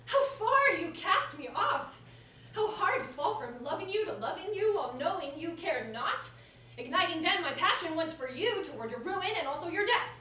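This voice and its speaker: A woman's voice: very high-pitched, big pitch swings, normal speed, pushed and bright, full character voice. A woman playing a witty, proud, passionate common woman in a staged verse comedy, with theatrical delivery. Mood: passionate, indignant. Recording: roomy, distant mic, background noise.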